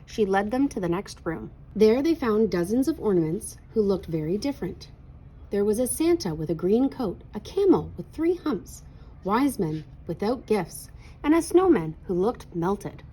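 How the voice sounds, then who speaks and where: A woman reads a children's story aloud. Her voice is medium in pitch and slightly husky, and the narration is expressive. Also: normal speed, poor audio.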